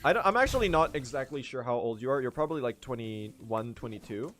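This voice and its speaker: A late-teen man from Sweden, a native Swedish speaker, chatting casually on a gaming livestream in a rambling, joking way with sudden excited outbursts. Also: mid-range voice, fairly quick. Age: late teens. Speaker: streamer.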